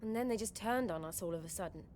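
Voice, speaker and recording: A woman's voice: mid-range voice, fast talker, a little mellow, slight background noise, natural voice. A woman playing a spirited young heroine who speaks plainly and casually. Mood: plucky. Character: spirited young woman hero, plucky and direct. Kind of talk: game cutscene acting.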